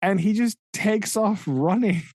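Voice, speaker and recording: A man's voice: high-pitched, measured pace, darkish tone, no echo, studio quality. A man co-hosting a podcast, casually retelling a novel's events with frequent "right?" checks and occasional laughs. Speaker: podcast co-host. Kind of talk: casual book discussion.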